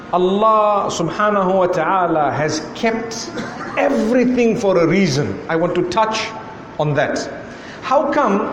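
A man with a Zimbabwean accent delivers a sermon to a live audience, driving his point with a string of rhetorical questions. His voice sits high for a man. The sound carries the long echo of a large hall over a faint room hum. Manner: rhetorical questioning, repeating "why" and "how come". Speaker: preacher.

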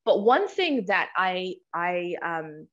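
A woman's voice: fairly low pitch, big pitch swings, slow. A young American woman telling a personal story as an interview guest, reflective and full of restarts and repeated words. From United States. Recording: slightly muffled podcast audio.

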